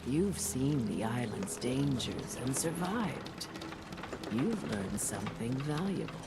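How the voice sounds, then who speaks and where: Slow-speaking old island widow. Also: female voice, a bass voice, very animated, heavy background noise, exaggerated voice. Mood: defensive, reflective. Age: older. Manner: slow, deliberate, folksy storytelling. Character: old island widow, weary, solemn storyteller with sly streak. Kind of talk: dramatic video game dialogue. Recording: loud music over the voice.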